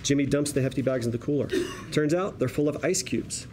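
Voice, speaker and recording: A man reading scripted stage directions as narrator at a table read, in a measured, descriptive storytelling manner. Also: medium pitch. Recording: faint room noise.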